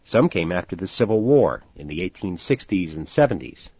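An actor playing an easygoing rancher who tells stories about the Old West in a scripted language-course dialogue. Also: male voice, deep voice, big pitch swings, normal speed, darkish tone, breathy edge, no room sound, soft background music, heavily acted. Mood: explaining. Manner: easygoing, storytelling. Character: friendly older male rancher recounting Old West history. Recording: dull lo-fi course audio.

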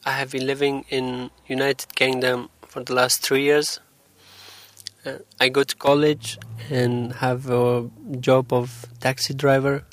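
A young man from Afghanistan, answering interview questions about his English in a halting, hesitant way. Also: mid-range voice, flat delivery. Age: young adult. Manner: hesitant, halting, many pauses.

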